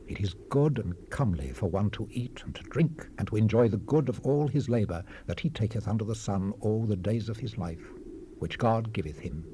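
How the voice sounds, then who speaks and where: An actor playing a solemn Old Testament preacher, reading scripture in a measured, oratorical manner. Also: male voice, fairly low pitch, warm tone, lightly acted. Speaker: Bible narrator, voice actor. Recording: hissy unprocessed 1970s cassette rip.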